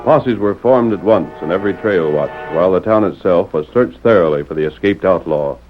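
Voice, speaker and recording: A muffled old broadcast with faint music behind carries a man announcing a radio drama. His voice is low, and he narrates in a formal, dramatic 1940s style. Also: brisk.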